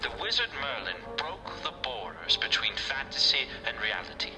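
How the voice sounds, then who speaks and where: A man's voice: medium pitch, wildly swooping pitch, normal speed, music in the background, low-quality recording. A man playing a portentous exhibit narrator in a scripted audio drama.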